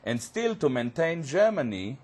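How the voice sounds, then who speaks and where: Male panel guest, Hebrew native, halting delivery full of fillers, studio setting. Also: a little high, very animated, a little mellow. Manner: halting, searching for words, many fillers. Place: community TV studio.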